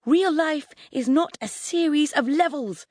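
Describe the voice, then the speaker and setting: A woman voicing a furious mother at her wits' end in a story, with exasperated, emphatic shouting. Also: extremely high pitch, wildly swooping pitch, slow pace, dry recording, studio quality, voice pushed up, heavily acted. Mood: outraged.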